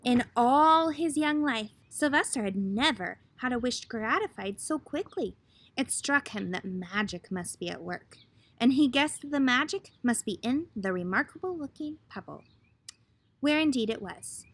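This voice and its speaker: Lady reading a kids book out loud, highish voice, expressive with dramatic pauses. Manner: expressive, asks listeners questions, dramatic pauses. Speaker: storyteller reading a picture book to children. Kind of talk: children's story read-aloud.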